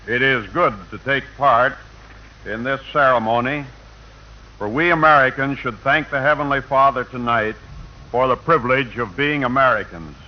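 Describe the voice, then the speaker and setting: Solemn man orating on muffled old radio. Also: mid-range voice. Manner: solemn, oratorical. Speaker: Protestant church spokesman. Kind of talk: formal scripted radio address. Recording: dull lo-fi 1940 radio broadcast.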